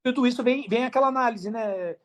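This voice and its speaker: A man's voice: very high-pitched, a little fast. A man with a Brazilian accent chatting casually as a podcast guest, giving advice in slangy, conversational language with frequent check-ins. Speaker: podcast guest, affiliate marketer.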